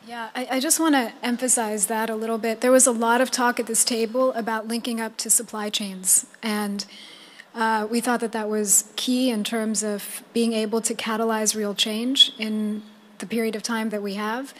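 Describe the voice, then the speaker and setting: A woman's voice: a little high, level tone, normal speed, a bit bright. A woman giving a spoken summary at a meeting, stressing and repeating her key points. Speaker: roundtable participant reporting back.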